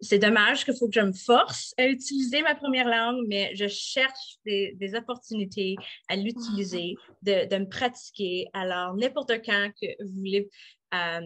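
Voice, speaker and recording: A middle-aged woman, a municipal councillor answering interview questions haltingly, with many fillers and restarts. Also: mid-range voice, fairly bright tone. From Eastern Ontario, Canada.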